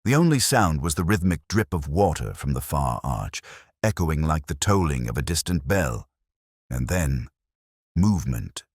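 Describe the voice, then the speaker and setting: A man's voice: a bass voice, very animated, moderate pace, husky, no echo, clean studio sound. A man reading a scripted mystery story as a dramatic narrator.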